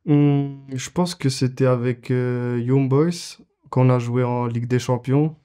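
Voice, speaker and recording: A man's voice: mid-range voice, fairly even intonation, a little slow, dark tone, clear, resonant tone. A man in his twenties, a goalkeeper answering interview questions casually, with many fillers and restarts. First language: French. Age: 20s.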